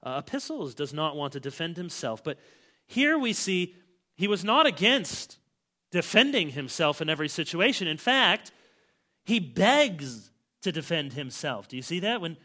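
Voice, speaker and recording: Emphatic bright-voiced male preacher. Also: fairly high pitch, big pitch swings, normal speed. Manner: emphatic, with big swings in loudness. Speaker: pastor. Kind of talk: expository sermon.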